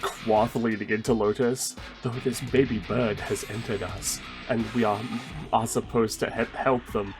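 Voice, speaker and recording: A man's voice: fairly low pitch, fairly even intonation, a little slow. A man reacting and chatting casually as a player in a tabletop game. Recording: music clearly behind the voice.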